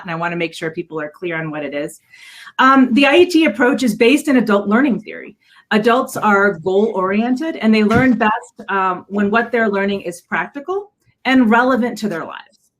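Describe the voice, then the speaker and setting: A woman hosting a panel over a video call with semi-scripted remarks, often pausing and repeating words as she explains. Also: on the high side. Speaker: panel host and adult education director.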